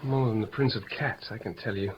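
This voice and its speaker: An actor playing a witty, mocking young gentleman in a 1950s radio Shakespeare play, with theatrical, bawdy banter. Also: lowish voice, fairly quick, close to an everyday voice. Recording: dull, noisy old radio recording.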